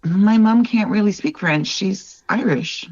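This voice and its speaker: A woman's voice: mid-range voice, measured pace, darkish tone, slightly rough, no echo, dull audio. A middle-aged woman from Northern Ontario, a wildlife artist speaking earnestly in a candid interview, often tagging on "like" and "right?". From Northern Ontario, Canada. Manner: earnest, reflective, lots of "like" and "right?". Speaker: wildlife artist, podcast guest.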